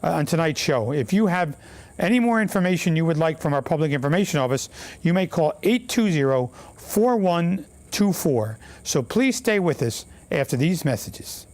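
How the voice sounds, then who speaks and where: A man hosting a local city talk show, with a voice that sits a bit higher than usual. He reads community announcements in a measured, formal way, with the odd filler. He sounds like a studio recording. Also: expressive, a little mellow. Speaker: mayor and show host.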